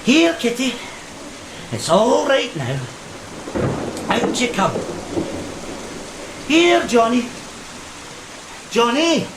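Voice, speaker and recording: A man's voice: very high-pitched, sing-song, drawn-out words, pauses often, full character voice. A man playing an anxious, coaxing sailor in a gothic horror audio drama. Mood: coaxing, uneasy. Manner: coaxing, calling out. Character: anxious sailor hunting the ship's lost cat. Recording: echoey, rain and thunder noise.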